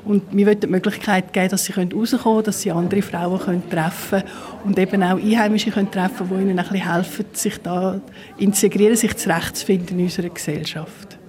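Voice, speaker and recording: A woman's voice: mid-range voice, steady tone, fast talker, a little mellow. Female interviewee, mid-range voice, Swiss German accent, unscripted organizer explaining and correcting herself as she goes. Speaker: interviewee, community group organizer. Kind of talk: spontaneous interview talk.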